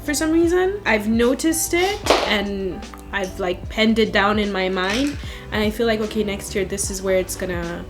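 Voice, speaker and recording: A woman's voice: mid-range voice, normal speed, music in the background. A young woman from Africa with a mixed British and Nigerian accent, chatting frankly and laughing often over a video call while doing her makeup. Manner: lively, frank, laughs often, speaks with emphasis. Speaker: guest beauty creator.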